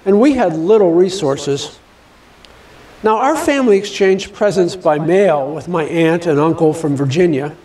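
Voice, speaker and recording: A man in his seventies reading a personal holiday reminiscence in a measured, deliberate storytelling manner with dramatic pauses. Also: high voice, a little mellow, recorded from a distance, slight background noise, rough recording. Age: 70s.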